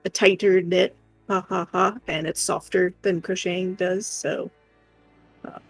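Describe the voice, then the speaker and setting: A woman's voice: fairly low pitch, steady tone, measured pace, husky, soft background music. A woman co-hosting a crafts livestream, chatting casually and playfully with her viewers. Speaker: co-streamer doing crafts.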